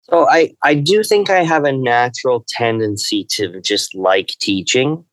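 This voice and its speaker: A middle-aged man answering interview questions as a lecturer, thoughtful and halting, with many fillers. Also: medium pitch, a bit bright, dry recording. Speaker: university lecturer guest.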